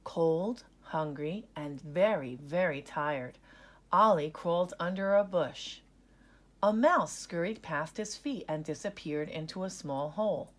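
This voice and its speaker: A woman narrating a children's story in an expressive read-aloud style. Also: sits low, measured pace.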